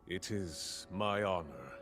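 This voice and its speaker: An actor playing a sly, ambitious warlord king in a video game, measured and falsely courteous, with menace underneath. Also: low-pitched, a bit bright, full character voice. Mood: falsely humble. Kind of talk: dramatic video game cutscene acting. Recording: music behind the voice.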